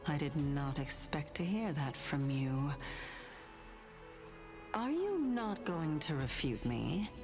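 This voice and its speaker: An actress playing an imperious, otherworldly judge of souls in a video game, speaking in a stately, formal, taunting way. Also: female voice, deep voice, big pitch swings, slow, long pauses, music in the background, heavily acted. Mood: teasing, cool. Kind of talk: scripted video game voice acting.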